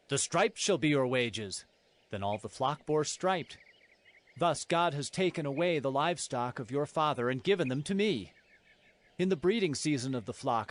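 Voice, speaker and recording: A man's voice: mid-range voice, close to an everyday voice. A man playing an earnest, aggrieved husband and herdsman, declaiming in a dramatized scripture audio.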